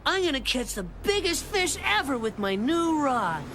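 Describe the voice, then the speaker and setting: A woman's voice: sits high, big pitch swings, moderate pace, noisy background. A woman voicing a chubby, boastful young boy in a cartoon. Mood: excited. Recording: cartoon soundtrack with loud music.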